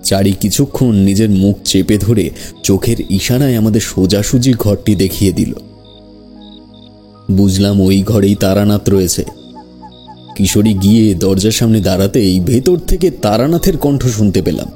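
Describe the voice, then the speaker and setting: Casting: male narrator for audio horror fiction, deepish pitch, very soft mellow timbre, scripted first-person delivery. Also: normal speed, soft background music.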